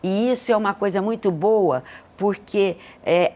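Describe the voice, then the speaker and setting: A woman's voice: medium pitch, measured pace, warm tone. An older Brazilian woman telling personal stories as an interview guest, speaking in an earnest, emotive way. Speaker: interview guest, hospital leader. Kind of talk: reflective personal storytelling in an interview.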